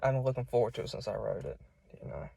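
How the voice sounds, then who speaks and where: A man's voice: mid-range voice, quick pace, gravelly, warm tone, slightly rough, no echo. A young man with a Southern US accent, preaching in an informal, folksy and conversational way. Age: young adult. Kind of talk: informal sermon. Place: solo self-recording.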